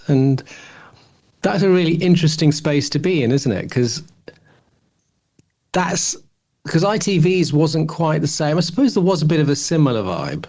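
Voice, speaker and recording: A man's voice: fairly high pitch, darkish tone. A man co-hosting a casual podcast discussion, musing tentatively and often rewording his sentences midway. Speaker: podcast co-host.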